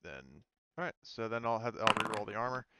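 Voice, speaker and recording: A man's voice: fairly low pitch, fairly quick, heavy background noise. A man acting as game master in a casual tabletop roleplaying session, hesitant and thinking aloud as he checks rules.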